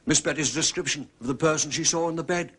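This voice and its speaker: Casting: unflappable gentleman's servant, husky voice, deferential formal delivery, radio drama. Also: on the high side. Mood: tactfully correcting. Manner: formal, measured, tactful. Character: discreet, deferential, unflappable English manservant.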